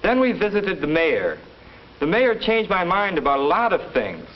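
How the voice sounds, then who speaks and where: A candid schoolboy gives a report in a 1950s classroom film. His fairly high voice moves up and down in a lively way, on a muffled old soundtrack. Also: moderate pace. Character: candid schoolboy admitting what he learned.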